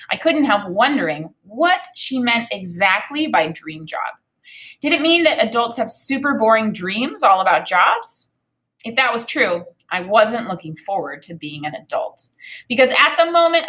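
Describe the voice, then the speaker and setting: A woman teacher in her thirties reads to young learners in a bright, forward voice of medium pitch. Her delivery is expressive and deliberate, moving between storybook reading and thinking aloud as in a lesson. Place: small indoor room with desk and bookshelf.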